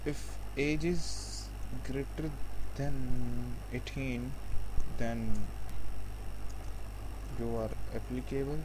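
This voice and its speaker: A man giving a programming tutorial, explaining step by step in a halting, repetitive way. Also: mid-range voice, fairly even intonation. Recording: faint background noise.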